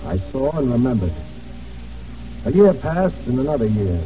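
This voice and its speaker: Guy playing plain-spoken american sailor narrator, 40s radio drama, dull dark sound, bit distant. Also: mid-range voice, sing-song, moderate pace, faint music behind, natural voice. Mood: reflective. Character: weary, grateful American Navy man in hiding, recounting his ordeal.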